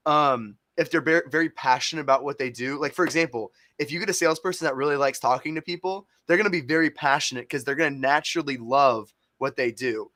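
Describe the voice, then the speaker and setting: A man's voice: medium pitch, quick pace, few pauses, pushed and bright, no echo, clean studio sound. A man hosting an interview, asking curious, rambling questions in casual chat. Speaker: interview host.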